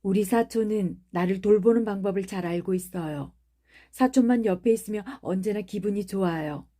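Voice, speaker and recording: A woman's voice: medium pitch, moderate pace, dark tone, natural voice. Bossy, sickly young boy who talks like a little prince, read by a woman narrator; audiobook. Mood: imperious. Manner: commanding, demanding. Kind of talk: audiobook character reading.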